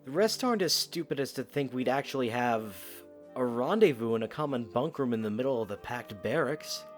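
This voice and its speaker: Earnest young knight, mid-pitched, acted by a man. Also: animated, brisk, soft background music, close to an everyday voice. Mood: wry. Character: young male knight, earnest, dutiful, dryly teasing. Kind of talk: audio drama acting.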